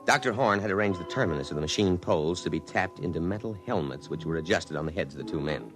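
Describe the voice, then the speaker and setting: An actor playing a pompous, ambitious middle-aged army colonel in an old radio drama, with clipped officious delivery. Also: male voice, low voice, animated, quick pace. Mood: smug narrating. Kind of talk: radio drama acting with first-person narration. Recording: vintage radio drama recording with music.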